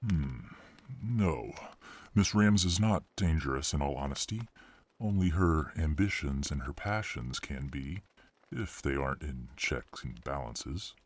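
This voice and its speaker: Man acting a very deep, husky fantasy official. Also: expressive, heavily acted. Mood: grave, guarded. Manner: formal, measured, guarded. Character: grave, courteous senior official quietly giving orders. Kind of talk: scripted fantasy audio drama.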